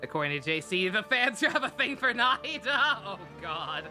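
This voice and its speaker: A woman's voice: medium pitch, big pitch swings, moderate pace. A woman live streaming a game with casual, candid commentary, rambling and stammering, with frequent swearing. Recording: game music behind the voice.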